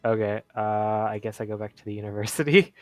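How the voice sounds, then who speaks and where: A man playing a tabletop roleplay game, speaking casually in a tentative, halting way. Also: lowish voice, no echo. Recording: dry sound with music underneath. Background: music.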